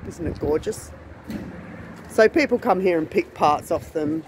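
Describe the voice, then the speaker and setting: A woman's voice: on the low side, lively intonation, a little slow, a little mellow. Chatty woman in wind noise. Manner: chatty, admiring, musing aloud with rhetorical questions. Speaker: vlogger. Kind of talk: casual walk-along vlog commentary. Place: outdoor car wrecking yard. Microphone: handheld phone held while walking. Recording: windy, noisy outdoor phone recording.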